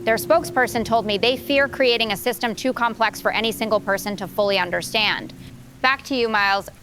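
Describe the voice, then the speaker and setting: Woman doing a news correspondent on location, serious and measured, scripted audio drama, noisy background. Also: on the high side, a bit bright, close to an everyday voice. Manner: measured, formal reporting delivery. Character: female TV field reporter, measured and serious.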